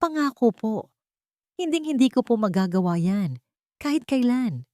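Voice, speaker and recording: A woman's voice: a little high, animated, moderate pace, dark tone, no room sound, voice pushed up, close to an everyday voice. Lady doing a tearful young janitress in an audio drama, shaky voice, begging to be believed, super dry sound. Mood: tearful pleading. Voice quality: trembling voice. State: crying. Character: tearful young female janitress, desperately pleading innocence.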